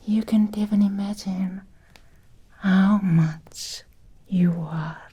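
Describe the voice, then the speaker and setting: A woman playing an obsessed, seductive Victorian vampire in an ASMR roleplay, whispering softly and slowly in an intimate, breathy voice. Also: mid-range voice, steady tone. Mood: tender, intimate. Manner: slow, intimate, deliberate with long pauses. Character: obsessed, seductive Victorian female vampire, hungry yet restrained.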